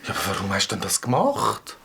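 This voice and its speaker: An actor voicing an excitable, bossy boy adventurer with a Swiss German accent in a children's audio drama, talking in exclamatory, halting bursts. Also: lowish voice, vocal fry, husky, exaggerated voice. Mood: doubtful.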